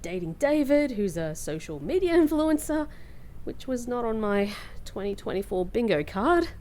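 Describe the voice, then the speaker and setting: A woman playing a sardonic, flustered young woman in a comedy fantasy audio drama, with dry, halting delivery. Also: a little high, very animated, warm tone, a little hiss or hum. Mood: strained.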